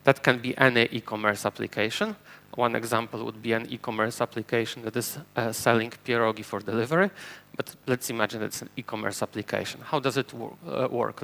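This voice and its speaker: Man, Polish accent, non-native speaker, conference talk, gravelly, mid pitch, explanatory. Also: fairly bright tone. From Poland. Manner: explanatory, frequent fillers and restarts. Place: conference stage before an audience.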